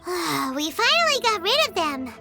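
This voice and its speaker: A woman's voice: very high voice, big pitch swings, normal speed, exaggerated voice. A woman voicing a tiny, childlike floating companion in a video game, chirpy and curious. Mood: fretful, then relieved. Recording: loud background music.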